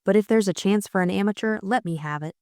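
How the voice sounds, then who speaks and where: A woman's voice: mid-range voice, fast talker, a little mellow, dry recording, studio quality, close to an everyday voice. A woman voicing a bold, eager young lady amateur sleuth in an audiobook, earnest and insistent. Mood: earnest, determined. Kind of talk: audiobook character acting.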